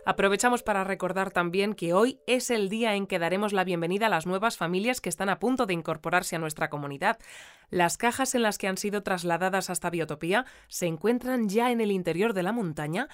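A woman playing a composed news anchor in a sci-fi audio drama, reading a scripted bulletin in a formal, measured way. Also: medium pitch, no echo, clean studio sound, close to an everyday voice. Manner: formal, measured announcer delivery. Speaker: newscast presenter. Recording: music bed under the voice. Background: music.

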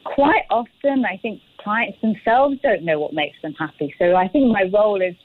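A woman's voice: mid-range voice, normal speed, phone-quality sound. A woman from the UK, a guest designer on a radio interview, speaking frankly and articulately with a self-deprecating touch. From United Kingdom.

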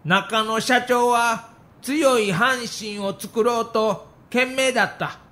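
A man's voice: extremely high pitch, slow pace, pushed and bright, exaggerated voice. A man playing a grave, earnest middle-aged baseball manager with a Kansai accent in an audio documentary, speaking politely and solemnly. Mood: solemn resolve. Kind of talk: acted dialogue in audio documentary.

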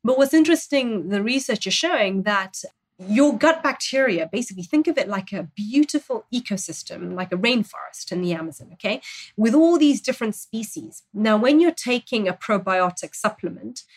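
A woman who grew up in Zambia, a dietitian guest on a talk show, explaining calmly and earnestly. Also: mid-range voice, normal speed. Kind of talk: expert explaining in interview.

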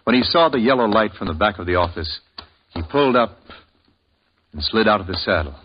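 A man's voice: fairly low pitch, animated, fairly quick, slight background noise. A man announcing and narrating in formal 1950s radio style on a lo-fi recording with music. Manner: formal, declaiming.

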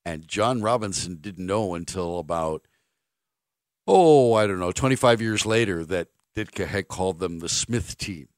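A man's voice: low-pitched, animated, normal speed, no room sound, clean studio sound. A man hosting sports talk radio, giving an opinionated monologue in a blunt, emphatic way, repeating phrases for stress. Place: dry radio studio.

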